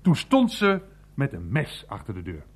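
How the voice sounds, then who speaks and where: An actor playing a teasing, worldly old uncle in a radio play, blustering and mocking in drawn-out, emphatic phrases. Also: male voice, medium pitch, wildly swooping pitch. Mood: boastful storytelling. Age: older.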